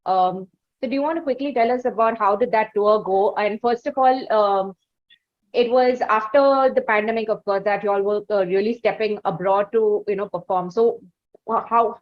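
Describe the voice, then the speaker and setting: A woman's voice: medium pitch, normal speed. A woman with an Indian accent hosting a conversational interview, phrasing her questions in a hesitant, wordy way. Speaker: interviewer and podcast host. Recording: dull, lo-fi audio.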